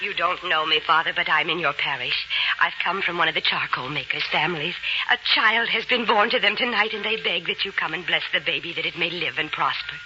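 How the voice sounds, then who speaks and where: An actress plays a humble village woman in a 1940s radio drama. She speaks earnestly and respectfully, pleading on behalf of others. The recording is muffled, with clear noise over it. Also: on the low side, brisk, lightly acted.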